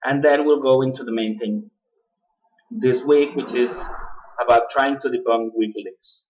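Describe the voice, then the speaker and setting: Halting man hosting a radio talk. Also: medium pitch, steady tone, darkish tone, slight background noise. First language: not English. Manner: halting, many uh fillers and restarts. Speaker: radio show host. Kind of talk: political commentary monologue. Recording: distant, dull lo-fi sound.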